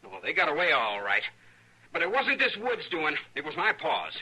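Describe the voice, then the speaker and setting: A young Western avenger with a rural American drawl, bitter and insistent. The voice is fairly high, sharp and husky. It has the sound of an old radio western. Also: male voice, wildly swooping pitch, moderate pace, heavily acted. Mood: bitter, resolute. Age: young adult. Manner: folksy, insistent, accusing. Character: young man bitterly bent on avenging his father. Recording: bright, lo-fi old radio broadcast.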